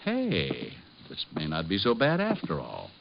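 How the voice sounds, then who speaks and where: A man's voice: low-pitched, huge pitch swings, a little slow, vocal fry, background noise, heavily acted. An actor playing a cocky, wisecracking American private detective in an old radio drama, wry and sardonic as he talks and narrates. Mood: needling. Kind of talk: 1940s-50s radio detective drama acting, first-person narration. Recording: muffled old radio recording, music behind.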